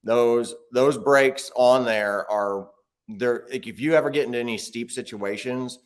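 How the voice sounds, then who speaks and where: A man's voice: medium pitch, a little slow. A man talking casually as a guest on a video call interview, explaining at length with many restarts. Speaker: guest, bike video creator.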